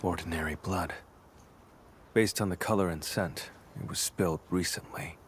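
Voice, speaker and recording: A male actor plays a brooding vampire investigator from a video game. His voice sits very low, and he speaks in clipped, deliberate phrases with grave restraint. Faint ambient noise runs behind him. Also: close to an everyday voice. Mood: watchful, analytical. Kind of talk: scripted game dialogue.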